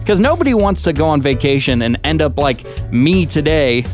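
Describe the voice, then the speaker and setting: A man's voice: on the high side, very animated, moderate pace, faint music behind. A man co-hosting a morning radio show, easygoing and jokey, laughing often. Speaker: radio co-host. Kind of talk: casual morning radio banter.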